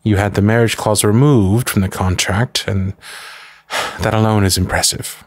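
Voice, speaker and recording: A man playing a devoted, protective manservant to a noble lady in an ASMR roleplay, speaking formally, with measured deference and dry disapproval. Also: fairly low pitch, fairly even intonation, no echo, close to an everyday voice. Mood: calm, deferential. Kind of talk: scripted ASMR roleplay acting.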